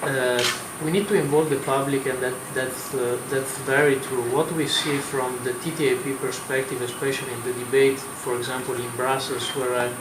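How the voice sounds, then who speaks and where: A man's voice: mid-range voice, level tone, moderate pace, few pauses. A man on a panel, opening politely and hesitantly with many fillers. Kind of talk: roundtable remarks. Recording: echoey room with noise.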